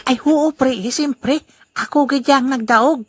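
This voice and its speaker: A woman voicing a boastful, joking old grandfather who is poor but proud, speaking in a teasing way in an amateur audio drama. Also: high-pitched. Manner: teasing, laughing, slow deliberate delivery. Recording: noisy amateur recording.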